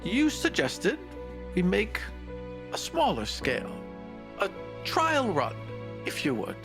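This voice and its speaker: A man voicing an earnest, idealistic small male would-be architect of fate in a fantasy roleplay, pleading in a formal, halting, hushed way. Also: sits high, voice pushed up, exaggerated voice. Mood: tentative challenge.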